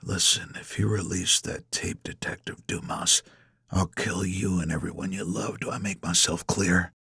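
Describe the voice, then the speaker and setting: A menacing male villain played by a male narrator, speaking low and hushed. His harsh, measured delivery turns every clipped phrase into a threat in this audiobook scene. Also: husky, dry recording, full character voice. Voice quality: hushed, harsh, partly whispered. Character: menacing older male villain, smooth official hiding threats. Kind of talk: acted audiobook dialogue.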